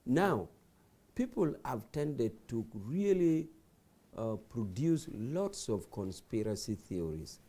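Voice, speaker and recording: A man's voice: medium pitch, very animated, slow pace, dark tone. A man, a party official on a talk show, defending his side in an insistent, lecturing way. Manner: insistent, lecturing, repeats phrases.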